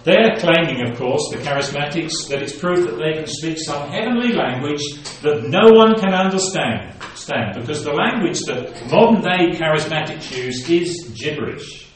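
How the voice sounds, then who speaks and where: High-voiced preacher in an echoey hall. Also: male voice, expressive, moderate pace, hardly any pauses. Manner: expository Bible teaching, reading scripture aloud then explaining. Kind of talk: sermon. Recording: very echoey room, voice from a distance.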